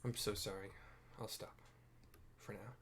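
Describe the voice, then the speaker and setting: A man's voice: on the low side, steady tone, unhurried, fairly bright tone, a little hiss or hum. Rambling man narrating a game playthrough. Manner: rambling, self-deprecating, hesitant word-searching. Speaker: host of a game playthrough video. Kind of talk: casual commentary with improvised scene descriptions.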